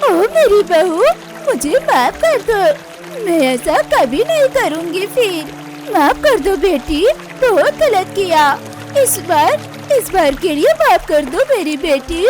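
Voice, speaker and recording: A performer acts out a domineering older mother-in-law bird from a cartoon, extremely high and shrill. She scolds and wails in exclamations, with big swoops of pitch, over clear background music. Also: sing-song, takes it slowly, full character voice. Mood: remorseful, pleading.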